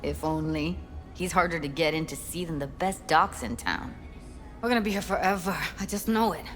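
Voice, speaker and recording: A woman's voice: deep voice, moderate pace, fairly bright tone, faint music behind. An actress voicing a worried, streetwise young woman in a video game, terse, colloquial and fretful. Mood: impatient. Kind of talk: video game character acting.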